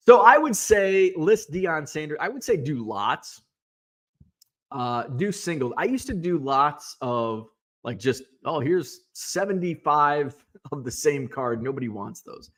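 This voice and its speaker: A man in his forties, a sports card seller hosting his own show, thinking aloud in casual, rambling solo talk full of restarts. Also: a little high.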